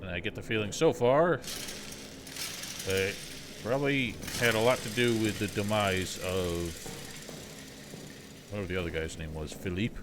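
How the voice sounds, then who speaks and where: A man's voice: lowish voice, normal speed, long pauses, noisy background. A man giving casual, wry and sceptical running commentary on a video game livestream.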